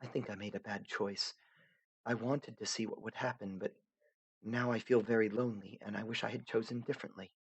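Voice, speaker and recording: A man voicing a polite, soft-spoken young troll girl who is lost and lonely, speaking in a hushed, earnest way. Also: on the low side, fairly even intonation, a little fast, slightly rough, voice pushed up.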